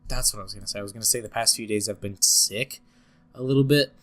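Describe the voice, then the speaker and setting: A man's voice: on the low side, fast, fairly bright tone. Congested young man hosting a chat. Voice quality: slightly scratchy, nasal. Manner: rambling, many fillers and restarts. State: congested from a cold. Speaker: podcast host. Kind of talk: casual podcast chat.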